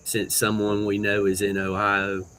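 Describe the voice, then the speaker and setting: A man hosting a livestream, chatting casually and slowly to a viewer. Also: fairly low pitch, monotone, fairly bright tone. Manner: slow, drawn-out, addresses a viewer by initials. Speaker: livestream host.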